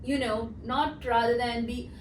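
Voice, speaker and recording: A woman's voice: on the high side, steady tone, unhurried. A young woman from Sri Lanka, a project coordinator, chatting casually in an interview with lots of "like" and "um". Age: young adult. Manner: chatty, uses many fillers like "like" and "um". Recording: echoey room, mic at a distance, noisy.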